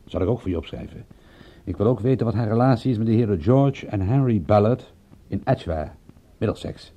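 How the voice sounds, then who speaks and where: A businesslike private detective with a dark, relaxed voice and a husky edge. The talk is cool and matter-of-fact, as in a radio play. The recording is a little dull and dated. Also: male voice, on the low side, fairly quick.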